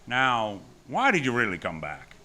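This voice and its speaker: A man's voice: medium pitch, huge pitch swings, a little slow, sharp tone, heavily acted. An actor with an American accent playing a tough, wisecracking hardboiled hero who tells his story in a noir radio drama, wry and terse. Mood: wry probing. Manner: wry, terse, world-weary. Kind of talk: first-person noir radio drama acting.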